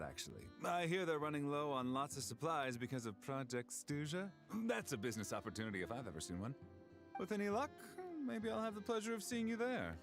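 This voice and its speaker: An actor playing an eager, upbeat travelling merchant in a video game, polite and salesmanlike. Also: male voice, medium pitch. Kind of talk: English video game voice acting.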